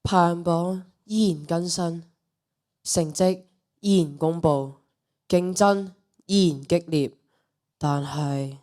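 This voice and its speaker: A teenage girl playing a reflective, measured student narrator in a radio drama. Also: lowish voice, dark tone.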